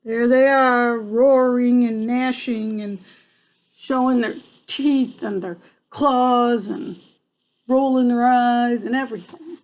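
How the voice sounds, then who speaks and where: A woman's voice: a little high, steady tone, slow, darkish tone, low-quality recording. An older woman reading a picture book aloud to children like a grandmother, adding chatty asides and checking in with her young listeners.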